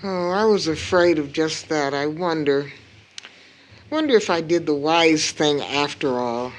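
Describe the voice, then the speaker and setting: A woman playing a grieving, proud widowed mother in an audio drama, telling her story solemnly with weighted pauses. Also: low voice, expressive, background noise. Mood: troubled.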